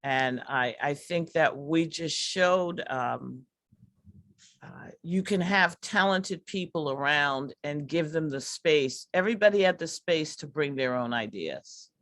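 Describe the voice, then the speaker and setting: A woman teaching artist reflecting in a discussion, emphatic but pausing often to search for words and repeat phrases. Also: low voice, fairly bright tone, dry recording, studio quality. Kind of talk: reflective post-show discussion.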